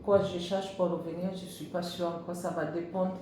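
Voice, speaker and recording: A woman's voice: fairly low pitch, little pitch movement, moderate pace, background noise. Kenyan woman, hesitant, echoey room. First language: English. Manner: hesitant, many fillers, searching for words. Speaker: job seeker. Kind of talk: job interview answers.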